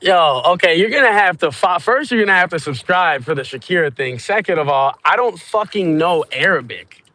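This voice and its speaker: A man's voice: high voice, lively intonation, a little fast, bright, forceful tone. A Cuban man livestreaming from a small boat out on open water, rambling and swearing while chatting with his viewers through his phone. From Cuba. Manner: profane, rambling, stoned banter with viewers.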